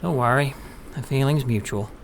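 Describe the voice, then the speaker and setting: A mysterious suited go-between played by a man, cool and businesslike. His mid-pitched voice is clipped and formal, an audio drama villain-like negotiator over faint ambient noise. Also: normal speed, natural voice. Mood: curt.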